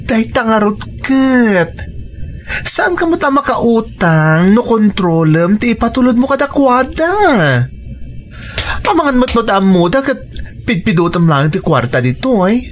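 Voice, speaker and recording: Sassy frank friend, radio drama, swooping pitch, slow drawn-out chiding, faint music behind. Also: female voice, sing-song. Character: sassy, frank female friend and co-worker abroad.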